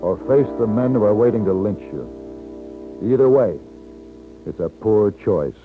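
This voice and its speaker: An actor playing a stern, grim gunfighter in a 1950s radio western. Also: male voice, lowish voice, lively intonation, unhurried. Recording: tinny vintage radio with loud music.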